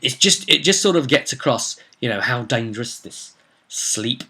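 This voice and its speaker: Bright-voiced male game master telling a story. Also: medium pitch, animated, moderate pace, husky. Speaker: game master and vidcast host. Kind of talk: casual recap narration of a tabletop roleplay story.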